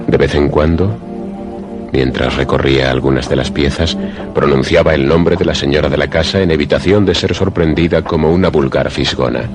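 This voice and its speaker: A man narrating a suspense radio drama in a measured, literary storytelling manner. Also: very deep voice, steady tone. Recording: prominent music behind the voice. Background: music.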